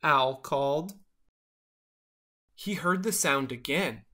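A storyteller reading a children's picture book aloud in an expressive style. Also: mid-range voice, takes it slowly.

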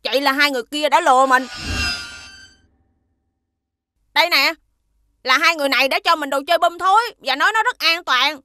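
Cheeky little cartoon schoolboy, southern vietnamese accent, high and bright, music behind. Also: female voice, fast, dry recording. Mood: upset. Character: mischievous, defensive little schoolboy. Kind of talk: children's cartoon acting.

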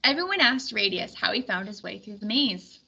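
A woman reading a storybook aloud in expressive scripted narration. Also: medium pitch, brisk, gravelly, breathy edge. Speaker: storybook reader. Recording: dull recording with faint background noise.

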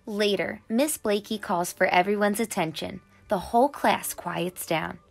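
Expressive woman reading a children's story over music. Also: medium pitch, measured pace, gravelly. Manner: expressive, enunciated for young listeners. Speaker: children's read-aloud storyteller. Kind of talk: animated picture-book narration for children.

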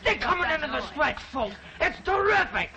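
An actor playing a cocky, wisecracking New York street kid with slangy, teasing barker's patter in an old film. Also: male voice, extremely high pitch, very animated, normal speed, creaky, crowd noise, heavily acted. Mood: excited showman. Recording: noisy old film soundtrack.

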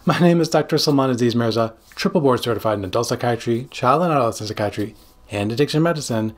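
A man in his forties, a psychiatrist hosting a scripted explainer to camera with emphatic, dramatic phrasing and a slightly husky voice. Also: mid-range voice, animated, fast talker. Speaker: psychiatrist and video host. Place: small home studio room. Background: background music.

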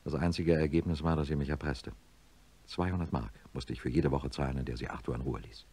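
Very deep, husky, grave professor. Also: male voice, fairly quick, warm tone. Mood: sombre, confessional. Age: middle-aged. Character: grave middle-aged neurosurgeon professor, troubled, confiding narrator. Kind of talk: radio drama narration and dialogue.

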